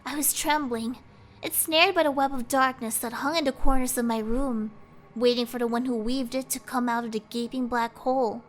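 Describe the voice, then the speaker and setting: A woman's voice: a little high, steady tone, moderate pace. A woman giving a suspenseful, theatrical reading of a horror story over background music. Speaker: let's player reading a visual novel aloud. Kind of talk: dramatic scripted narration of a horror story.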